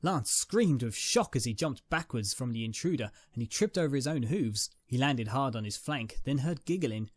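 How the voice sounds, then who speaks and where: A man narrates a dramatic story from a script. His voice sits at an ordinary male pitch, and music plays softly behind him. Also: expressive, normal speed, hardly any pauses. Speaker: narrator, reader. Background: background music.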